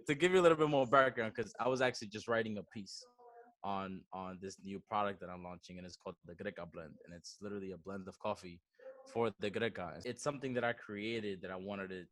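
A man's voice: on the low side, fairly bright tone, no echo. A man in his twenties with a Bronx New York accent, chatting casually as an interview guest, slangy and dropping in Spanish words. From the Dominican Republic and the Bronx, New York. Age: 20s. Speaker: podcast guest, coffee entrepreneur.

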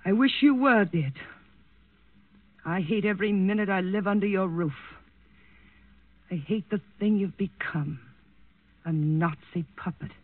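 An actress playing a proud, bitter countess, defiant and controlled, in 1940s radio drama style. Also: female voice, medium pitch, measured pace, long pauses, natural voice. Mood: bitter contempt. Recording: narrow-band vintage radio recording, dry.

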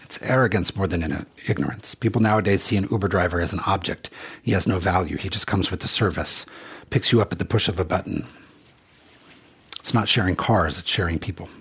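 A man's voice: low voice, a little fast, fairly bright tone, slightly rough. A middle-aged man from Congo who drives for a rideshare service, telling stories in an earnest, reflective monologue. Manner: earnest, reflective storytelling with quoted exchanges. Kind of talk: personal monologue.

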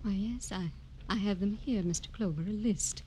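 A woman playing a gentle, troubled hospital nun with a hushed, hesitant manner in a vintage radio drama. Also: mid-range voice, normal speed, natural voice. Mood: earnest, uneasy. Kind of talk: 1950s radio drama acting. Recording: noisy old radio recording.

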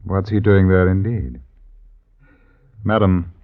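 An actor playing a stern sheriff of old London Town in a radio drama, questioning in formal, archaic phrases. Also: male voice, sits low, takes it slowly. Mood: stern, probing. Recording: dull, noisy vintage radio recording.

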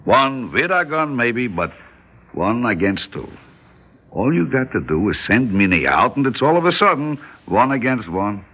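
An actor playing a calm, stubborn older shopkeeper who reasons in wry questions and Yiddish-inflected phrasing, in old-time radio style. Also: male voice, mid-range voice, moderate pace, husky. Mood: shrewd, persuasive. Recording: muffled old radio recording.

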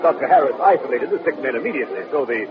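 An actor playing a courteous, brisk army colonel with clipped authority in an old-time radio drama. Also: male voice, medium pitch, animated, background noise, close to an everyday voice. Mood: genial. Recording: tinny vintage radio recording with music behind.

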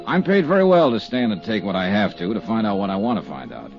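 A man's voice: fairly low pitch, very animated, fast talker, music in the background. An actor playing a hard-boiled male insurance investigator in a 1950s radio drama, narrating in clipped, dry first person. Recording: dull lo-fi old radio broadcast.

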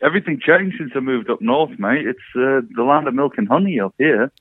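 A man's voice: medium pitch, animated, brisk, husky, no echo, phone-quality sound. A man co-hosting a podcast over a remote call, chatting casually and wryly on a thin line. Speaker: podcast co-host. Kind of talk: casual chat and football discussion.

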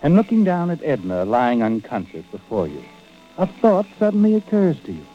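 A man's voice: mid-range voice, huge pitch swings, normal speed. An actor playing a sly, knowing narrator of a vintage radio suspense show, insinuating as he addresses a guilty man. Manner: insinuating, taunting rhetorical questions. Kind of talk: 1940s-50s radio suspense narration in second person. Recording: dull vintage radio recording with music.

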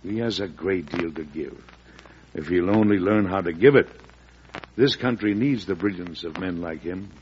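Male actor as principled, fatherly president for vintage radio drama: low register, measured and oratorical, noisy period recording. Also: highs cut off, close to an everyday voice. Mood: calm, conciliatory. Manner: measured, earnest, oratorical when roused. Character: fatherly, principled early American president, shrewd and patient. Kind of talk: old-time radio drama acting.

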